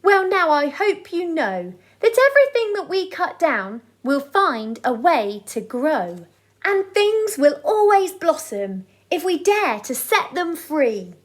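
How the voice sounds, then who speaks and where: A woman's voice: high voice, big pitch swings, slow, pitched above the performer's own voice, exaggerated voice. A woman voicing an idealistic male chimpanzee hero in a storybook, with a rousing, declaiming manner. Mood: wise, hopeful.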